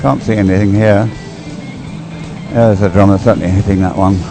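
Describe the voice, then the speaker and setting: A man's voice: fairly low pitch, fairly even intonation, normal speed, creaky, over music. A man giving live sports commentary in a hesitant, conversational, loosely phrased way. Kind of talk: live commentary on dragon boat racing.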